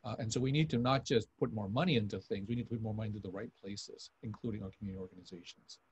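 A man's voice: on the low side, very fast, slight background noise. An older man, a physician, speaking insistently on a video panel. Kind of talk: panel discussion. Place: room with shoji screen. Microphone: video call.

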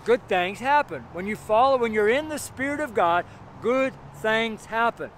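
High-ish voice middle-aged preacher guy by the road, keeps saying "right?", cars going by loud. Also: lively intonation. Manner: emphatic, repetitive, keeps asking "right?"; reads scripture slowly and deliberately. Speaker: street preacher and pastor. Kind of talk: outdoor street sermon, verse by verse. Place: outdoors beside a busy road in snow. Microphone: camera facing him at medium distance. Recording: outdoor traffic noise.

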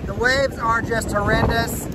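A man's voice: extremely high pitch, steady tone, a little slow. A man in his forties hosting a casual sailing vlog, explaining plans to an action camera on a boat deck at sea. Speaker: vlog host. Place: outdoors on a sailboat deck at sea. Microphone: action camera at arm's length. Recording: wind noise on open water. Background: wind and water.

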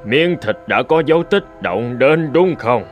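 A solemn old abbot, acted by a man teaches his disciples in a cartoon folk tale. His speech is measured yet widely varied in pitch, with clear music underneath. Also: expressive, close to an everyday voice. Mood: solemn, teaching. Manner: measured, instructive. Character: solemn old bearded male Buddhist abbot. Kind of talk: animated folk tale dialogue.

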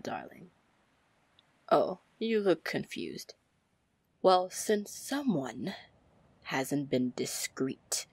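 A woman playing a confident, teasing female pirate captain in an ASMR fantasy roleplay, flirtatious and commanding, with a husky, gravelly voice. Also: a bass voice, dry recording, heavily acted. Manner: slow, drawling, flirtatious terms of endearment.

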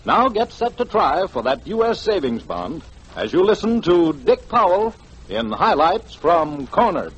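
A man's voice: high voice, very animated, a little slow, slightly rough. A man announcing a radio programme in scripted 1940s style. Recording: dull, lo-fi 1940s radio recording.

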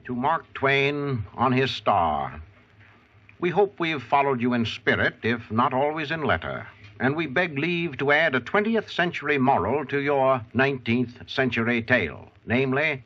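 Old time radio narrator guy, formal n stagey, mid pitch, dull old recording. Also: slightly rough. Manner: formal, measured, theatrical. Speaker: host and narrator. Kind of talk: 1940s radio scripted narration.

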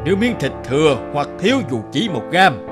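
A just mandarin passing judgement in a cartoon folk tale. He sounds stern and commanding, with expressive intonation over faint music. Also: on the high side, moderate pace. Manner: authoritative, commanding. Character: stern, just male mandarin official judging villagers. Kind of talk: animated folk tale dubbing.

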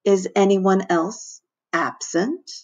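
A woman voicing a courteous, eccentric male schoolteacher in a storybook, measured and politely deadpan. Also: mid-range voice, highs cut off. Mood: calm, deadpan.

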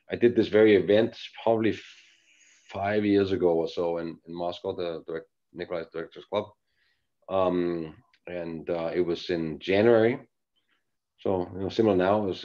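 Man from Denmark, middle-aged, very low voice, rambling with fillers, home living room, laptop webcam mic, dull lo-fi audio. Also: a little mellow. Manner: rambling, many fillers and restarts. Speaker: engineering executive presenter. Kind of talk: casual webcast presentation. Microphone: laptop webcam mic, video call. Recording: dull lo-fi video call audio.

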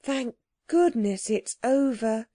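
A woman's voice: fairly high pitch, lively intonation, slow pace, mellow. A woman voicing a polite, earnest young girl, well-mannered and tentative, in a storybook reading. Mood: relieved.

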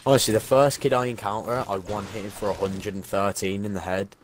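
A man streaming a game, chatting casually with a teammate, sarcastic and quick to swear about the game. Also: lowish voice, steady tone, a little hiss or hum. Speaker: gaming streamer. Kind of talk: casual gaming chat.

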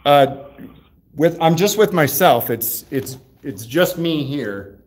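A man livestreaming from a sheriff's office lobby, talking loudly and casually and rambling with many fillers and restarts. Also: a little high, lively intonation, slow. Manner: loud voice, by his own account. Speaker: livestreaming channel host.

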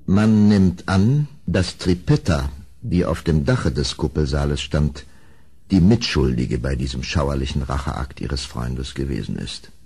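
A man's voice: extremely low pitch, expressive, measured pace, a little mellow, breathy edge. A man narrating a gothic radio play as scripted storytelling, grave and theatrical in manner. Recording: old audio drama recording, background noise.

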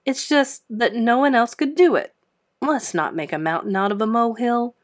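A woman's voice: a little high, normal speed, lightly acted. A woman as a weary, strained schoolteacher wife. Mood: defensive. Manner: terse, strained.

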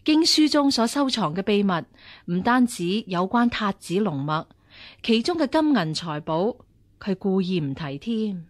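An actress playing a stern, shrewd martial-arts nun of royal birth, measured and commanding, in a Cantonese radio drama. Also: female voice, mid-range voice, sing-song. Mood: authoritative. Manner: measured, authoritative.

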